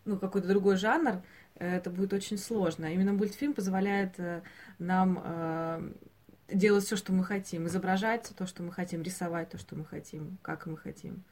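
Female podcast host, lower register, unscripted and hesitant, discussion style. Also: steady tone, moderate pace, a little mellow. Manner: hesitant, searching for words.

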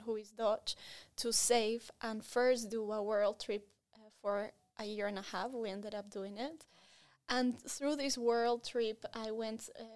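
Female interviewee, 30s, fairly high voice, Latin American Spanish accent, expressive and enthusiastic, conversational delivery. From Colombia and Spain. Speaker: interview guest.

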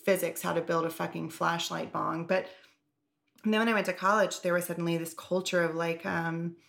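Gravelly low-voiced woman chatting candidly. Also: fairly even intonation, moderate pace, fairly bright tone. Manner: candid, rambling, heavy on "like" and swearing. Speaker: podcast co-host. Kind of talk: casual podcast chat.

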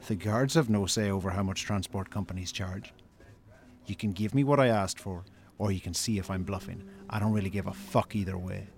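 A man acting in a radio drama as a stern Irishman, confrontational and deliberate, with cold threats. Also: deep voice, creaky. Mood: coolly threatening. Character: stern, menacing Irish brother, coolly threatening.

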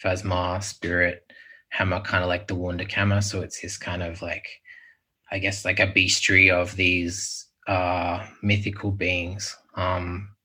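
A man speaking as an artist guest in a casual panel talk, relaxed and laid-back. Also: sits low, unhurried.

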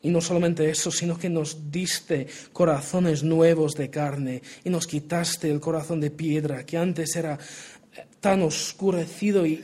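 A man's voice: a little high, darkish tone, breathy edge. A young man raised in Portugal preaching, his Spanish accented by Portuguese and American English; earnest, with many restarts. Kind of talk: sermon, Bible reading and prayer.

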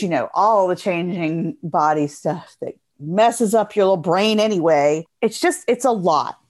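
A woman's voice: medium pitch, a little mellow. An American woman talking informally and earnestly in a casual interview, often repeating phrases for emphasis.

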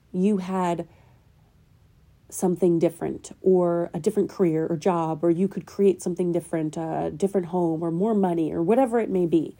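Female podcast host, slightly nasal from a cold, very dark mellow tone, earnest self-help monologue. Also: lowish voice. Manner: earnest, probing rhetorical questions. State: getting over a cold.

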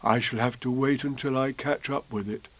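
A man voicing a gentle, simple bear in a storybook reading, talking slowly and softly. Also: mid-range voice, steady tone, dry recording, close to an everyday voice. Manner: slow soft drawl.

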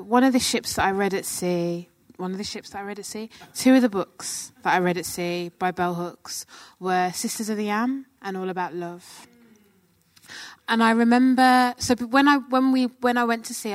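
A woman's voice: medium pitch, dry recording. A woman performer from Birmingham answers an audience question at a post-show talk, candid and reflective, thinking aloud as she goes.

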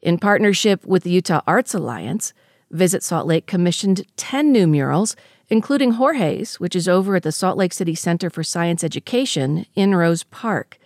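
Woman, radio host, low-pitched, measured scripted delivery, dry close sound. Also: no echo. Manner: crisp, measured delivery of scripted intros, relaxed questions. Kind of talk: radio feature narration and interviewing.

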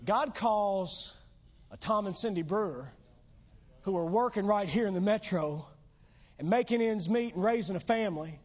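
A man's voice: high-pitched, normal speed, a little mellow. A pastor preaching a sermon in church, losing his voice, with earnest, emphatic exhortations. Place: church sanctuary. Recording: dull lo-fi church recording.

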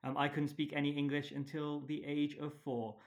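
A man hosting a podcast interview, relaxed and hesitant, with many fillers. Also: fairly high pitch, moderate pace. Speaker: podcast host. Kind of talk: casual interview chat.

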